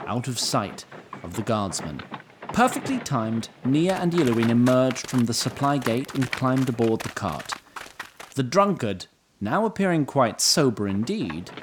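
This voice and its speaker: A man's voice: medium pitch, noisy background. A man narrating a scripted fantasy audio drama in storybook style.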